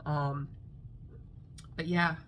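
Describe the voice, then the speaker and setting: A woman's voice: low voice, level tone, very slow, fairly bright tone, slight background noise. A middle-aged woman, a craft vlogger, chatting casually to camera with a congested, nasal voice and a rambling manner full of fillers. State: congested, nasal from a sinus infection.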